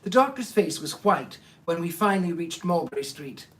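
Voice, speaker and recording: Man reading a story aloud, fairly high voice. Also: big pitch swings, moderate pace, fairly bright tone. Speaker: storyteller, livestream host. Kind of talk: dramatic live reading and casual asides.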